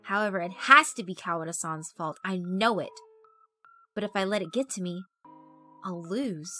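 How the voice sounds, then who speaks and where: A woman's voice: fairly low pitch, moderate pace, pushed and bright. Woman acting intense, defiant schoolgirl over music. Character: Midori, tense, stubborn schoolgirl lead of a play. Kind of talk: horror visual novel dramatic reading. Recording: background music under the voice.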